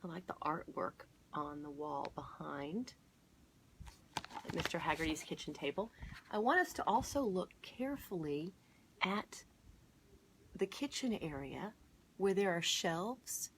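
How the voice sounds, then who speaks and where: A middle-aged woman hosting a children's storytime, reading a picture book aloud and pausing to point out details for young viewers. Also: fairly low pitch, animated, fairly bright tone, a little hiss or hum, low-quality recording. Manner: explanatory, inviting listeners to look closely and notice details. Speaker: children's storytime host at a museum.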